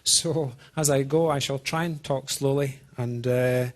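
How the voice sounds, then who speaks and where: A man with an accent that is part Scottish, part American, speaks at a middling pitch. He presents technical material informally, as a program manager would to a small group. His delivery is deliberate and a little halting, and he invites interaction. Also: fairly even intonation. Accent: Scottish-American mix. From Scotland.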